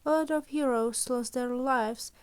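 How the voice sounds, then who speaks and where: A woman's voice: high voice, measured pace, clean tone. A woman doing a confident teenage boy principal. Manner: confident, lecturing.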